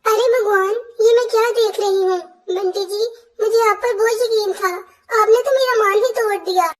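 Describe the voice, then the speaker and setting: Very squeaky little female cartoon bird. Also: fairly even intonation, normal speed. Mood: distressed. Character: sweet, earnest young female bird, a dutiful wife. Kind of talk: Hindi cartoon dialogue.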